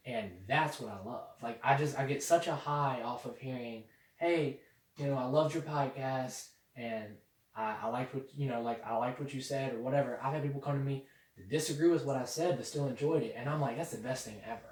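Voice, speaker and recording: A young man hosting a podcast in casual chat, rambling with many fillers and getting excited about social media. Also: medium pitch, fairly quick. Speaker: podcast host. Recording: echoey room, mic at a distance, faint noise.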